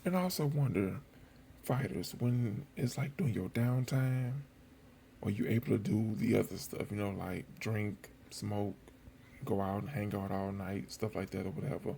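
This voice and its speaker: A man's voice: on the low side, moderate pace, creaky, warm tone. A man giving casual commentary straight to viewers in an informal, colloquial, conversational way. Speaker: host of a boxing footage channel. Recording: faint background noise.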